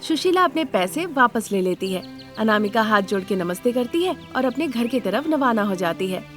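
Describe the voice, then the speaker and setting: A woman's voice: on the high side, lively intonation, normal speed, faint music behind. A woman reading scripted narration of a moral story as the narrator.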